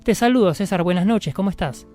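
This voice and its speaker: An Argentine-accented man hosting a radio interview, asking his guest questions in a friendly way. His voice sits at a middle pitch, with faint music under it. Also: quick pace, mellow. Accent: Rioplatense Argentine Spanish. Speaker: radio host. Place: radio studio in Mar del Plata.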